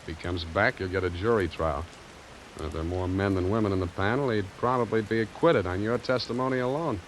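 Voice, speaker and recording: An actor playing a cynical, tough private detective in a 1940s radio noir drama, with clipped, sardonic delivery. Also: male voice, fairly low pitch, fairly quick, a little hiss or hum, close to an everyday voice, rough recording. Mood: cool, hard. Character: hard-boiled male private detective, wry, cynical, tough. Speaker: actor and first-person narrator. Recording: vintage radio, music and rain behind.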